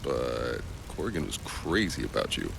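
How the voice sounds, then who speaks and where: A man playing a gruff, guarded drifter in a video game, terse and skeptical. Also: very deep voice, big pitch swings, normal speed, exaggerated voice. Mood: skeptical, probing. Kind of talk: video game cutscene acting. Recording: noisy outdoor ambience behind voice.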